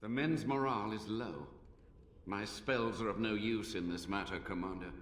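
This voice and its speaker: Man as a grim, lowish-voiced mage advisor. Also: moderate pace, a bit bright, echoey room, a little hiss or hum, close to an everyday voice. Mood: grave. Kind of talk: fantasy video game acting.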